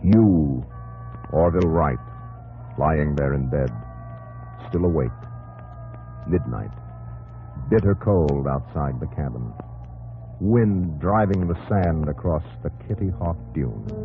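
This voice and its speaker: Male American narrator, very low and grave, probing 1950s radio drama delivery, dull vintage recording. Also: expressive, takes it slowly, pauses often, exaggerated voice. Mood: hushed, suspenseful. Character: solemn, probing male narrator addressing the hero directly. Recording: muffled old radio broadcast, music underneath.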